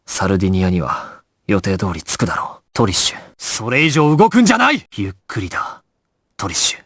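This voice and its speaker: An actor playing a calm, commanding young gangster squad leader in an anime video game, grave and decisive, giving clipped orders. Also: male voice, on the low side, a little fast, dry recording, studio quality, exaggerated voice. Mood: grim, concerned.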